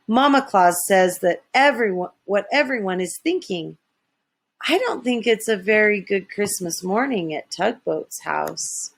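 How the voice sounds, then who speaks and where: A woman's voice: mid-range voice, vocal fry. A woman narrating a children's story expressively, with dramatic emphasis. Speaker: storybook reader.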